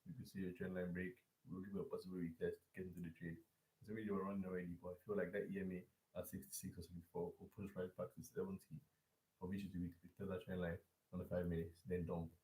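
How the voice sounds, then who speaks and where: A man's voice: sits low, warm tone. A man live-streaming a forex chart breakdown and talking loosely to his chat, with restarts and repeats. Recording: echoey room.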